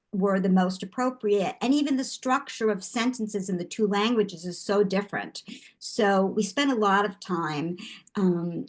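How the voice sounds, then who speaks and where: An older woman, a guest artist, giving thoughtful interview answers with frequent restarts. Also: mid-range voice.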